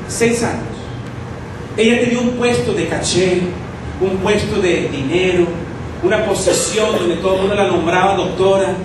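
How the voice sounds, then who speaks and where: A man in preaching a sermon to a congregation, teaching through rhetorical questions. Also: high voice. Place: church hall with congregation. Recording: echoey hall, distant mic, noisy.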